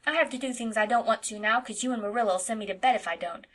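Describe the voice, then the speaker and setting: A woman's voice: fast, lightly acted. A woman voicing a mischievous eight-year-old farm boy who speaks bluntly and insistently. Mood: defiant, chattering. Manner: high, loud and insistent childlike delivery.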